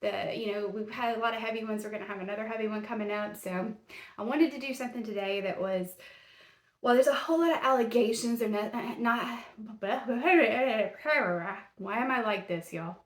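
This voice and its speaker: Southern woman, Tennessee accent, true crime host, folksy and rambling, slightly high voice, roomy echo. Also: normal speed. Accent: Southern US, Middle Tennessee. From Tennessee, USA. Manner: folksy, rambling, self-deprecating, many fillers. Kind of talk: casual chatty podcast. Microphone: camera and mic.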